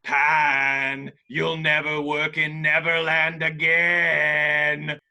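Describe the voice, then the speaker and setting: Male actor as menacing pirate villain, very sharp forceful voice, higher pitch, theatrical, taunting, amateur table read. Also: monotone, extremely slow, vocal fry, exaggerated voice. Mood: raging threatening.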